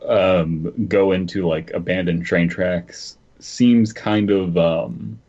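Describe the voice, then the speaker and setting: A man's voice: low voice, steady tone, slow, darkish tone. A man co-hosting a horror podcast, talking hesitantly and searching for words as he chats and reads. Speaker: podcast co-host. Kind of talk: casual chat and story reading. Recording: dull muffled audio.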